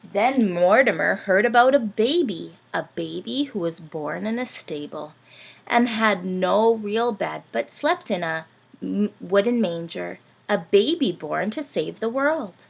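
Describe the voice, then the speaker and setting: A woman reads a children's picture book aloud with very animated intonation. Her pace is unhurried, with drawn-out dramatic phrases, and her voice has a gravelly creak. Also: medium pitch. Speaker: children's story reader.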